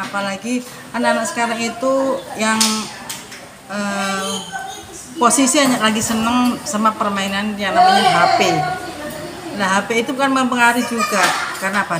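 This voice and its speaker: A middle-aged woman, a preschool principal, explaining her views conversationally in an interview with many examples. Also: medium pitch. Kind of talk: interview answer, explanatory talk. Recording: echoey room with clear background noise.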